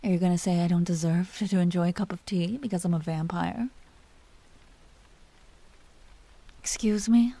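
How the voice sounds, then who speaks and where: A woman voicing a dominant, teasing vampire duchess in an intimate audio roleplay, often dropping into breathy whispers. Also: fairly low pitch, steady tone, fairly quick, mellow, no room sound. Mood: mock offended. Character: dominant female vampire duchess, seductive, teasing, coolly imperious. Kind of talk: scripted audio roleplay, intimate monologue to listener.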